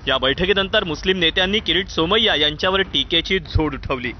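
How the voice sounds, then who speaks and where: A man's voice: on the high side, a little fast. A man reads scripted news narration in a dramatic style. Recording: slightly dull sound over music. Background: background music.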